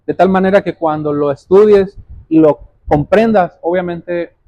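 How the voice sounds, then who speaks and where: A man delivers a sermon in a high-pitched yet mellow voice. He explains scripture like a teacher, repeating key phrases and asking pointed questions of his listeners. The sound is dry and a little muffled. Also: normal speed, no echo. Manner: addresses listeners directly as brothers. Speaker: preacher.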